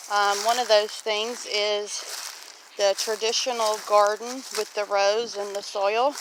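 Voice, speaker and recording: Loud outdoor noise with honking geese surrounds a woman of about fifty. She talks slowly at a medium pitch, in a casual, reflective way, as the plain-spoken host of a homestead vlog. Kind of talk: casual walk-and-talk vlog.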